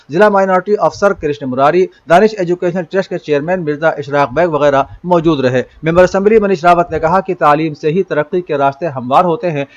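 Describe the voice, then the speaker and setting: Higher-pitched male news reader. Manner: formal, measured read delivery. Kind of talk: scripted news bulletin narration in formal Urdu register.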